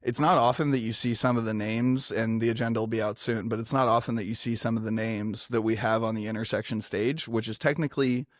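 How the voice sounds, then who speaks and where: Man, panel moderator, casual and upbeat, lots of "like" and "I mean", dry close sound, middle pitch. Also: quick pace, few pauses, no room sound. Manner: energetic, filler-heavy, enthusiastic.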